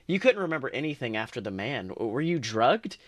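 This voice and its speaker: A man's voice: mid-range voice, sing-song, fairly quick, no echo. A man co-hosting a podcast, reading second-person fan fiction aloud earnestly, with small stumbles and restarts.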